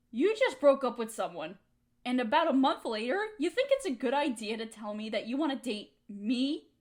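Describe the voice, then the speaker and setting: Actress for bitter, hurt young woman, high-pitched, emotional audio drama monologue, confrontational and accusing. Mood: angry, exasperated. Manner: emotional, accusatory, pointed rhetorical questions. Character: hurt, resentful young woman confronting her longtime roommate.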